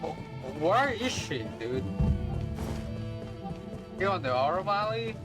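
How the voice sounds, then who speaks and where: A casual game streamer with a medium-pitched voice, reacting in a playful, slangy way. The delivery is slow and drawn-out. Loud game music runs behind the commentary. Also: very animated.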